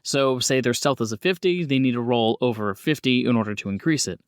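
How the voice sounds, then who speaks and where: A man's voice: medium pitch, brisk, clear, resonant tone, dry recording, studio quality. A man hosting a tabletop role-playing game as game master, explaining rules and calling on players in a casual way.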